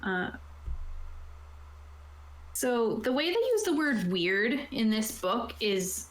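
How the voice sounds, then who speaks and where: A woman's voice: on the high side, very animated, takes it slowly. Woman explaining game rules in echoey room. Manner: informal, conversational. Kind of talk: casual explanation, partly reading from a rulebook. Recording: roomy, echoey sound.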